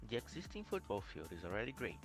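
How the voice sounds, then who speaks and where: A man's voice: lowish voice, wildly swooping pitch, quick pace. A young man from Brazil, a university student, reading a scripted team presentation. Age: young adult. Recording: music playing behind.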